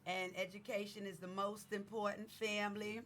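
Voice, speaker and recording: A woman's voice: mid-range voice, level tone, normal speed, fairly bright tone. A woman in her fifties hosting a radio interview, welcoming her guest with enthusiasm and chatting casually. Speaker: radio host.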